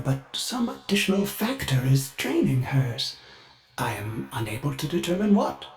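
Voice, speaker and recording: A man's voice: fairly high pitch, a little mellow, exaggerated voice. An actor voicing a loyal, eager male ship's AI who speaks of himself in the third person in formal, sing-song lines. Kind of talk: game voice lines.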